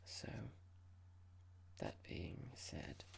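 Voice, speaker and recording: A performer playing a horrible, condescending young corporate boss in an ASMR roleplay, speaking in a breathy whisper with a measured, pompous, formal manner. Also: a little hiss or hum. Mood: cold, dismissive. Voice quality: breathy, gravelly whisper with clicks.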